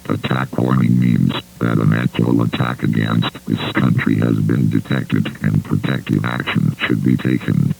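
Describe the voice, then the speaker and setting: A man's voice: very deep voice, little pitch movement, measured pace, gravelly, hardly any pauses, a little mellow, rough recording. A man reading a scripted emergency alert as a formal, impersonal announcer.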